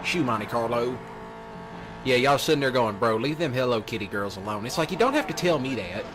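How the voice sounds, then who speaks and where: Animated man rambling over noisy engine sounds. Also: medium pitch, fairly quick. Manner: repeats himself, addresses audience as y'all and lads. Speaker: livestreamer doing a let's play. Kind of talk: casual rambling rant over gameplay. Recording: noisy with car engine sounds, uneven volume. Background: car and vehicle sounds from racing game.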